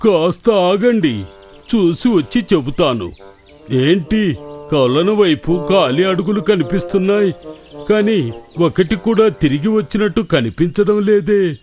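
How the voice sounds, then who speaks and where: Animated, slow-talking man acting a cartoon animal character. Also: high voice. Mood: thoughtful, cautious. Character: wise monkey leader and menacing pond demon. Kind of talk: children's cartoon story voice acting. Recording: music behind the voice.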